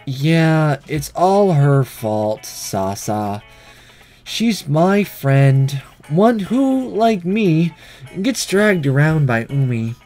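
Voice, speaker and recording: A sleepy, matter-of-fact schoolgirl tells her story in a visual novel, read by a man. The voice sits high for a man and moves up and down a lot, yet stays weary and blunt. Faint music plays behind it. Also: big pitch swings, very slow, a little mellow, full character voice. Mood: matter-of-fact, musing. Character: sleepy, detached schoolgirl narrating about her friends.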